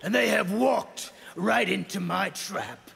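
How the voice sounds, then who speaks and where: A man's voice: sits high, slow pace, vocal fry, fairly bright tone, husky, full character voice. An actor playing a defiant, combative young male soldier in a video game, harsh and proud, in a reverberant space. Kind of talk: video game character acting.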